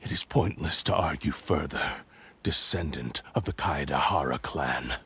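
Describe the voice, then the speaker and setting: An actor voicing a proud, menacing sentient cursed sword in a game cutscene, speaking in a haughty, slow and commanding way with a gravelly voice. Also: male voice, very deep voice, bright, forceful tone, a little hiss or hum, full character voice.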